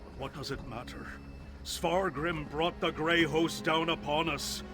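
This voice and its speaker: A man's voice: fairly high pitch, steady tone, unhurried, over music, close to an everyday voice. A man playing a bitter, betrayed warrior commander in a fantasy video game, with an intense, dramatic delivery. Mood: bitter, despairing.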